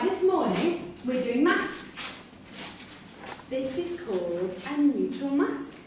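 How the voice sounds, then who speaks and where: A woman acting a brisk, encouraging drama teacher who coaxes and instructs a prison class in a staged reading. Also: high-pitched. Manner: instructive, coaxing. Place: theatre room at a live staged reading. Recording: echoey hall, distant mic, clear noise.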